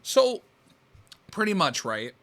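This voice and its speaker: Reaction host: young man, slightly high and sharp-edged voice, blunt, off-the-cuff. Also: very animated, slow pace. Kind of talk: casual reaction commentary.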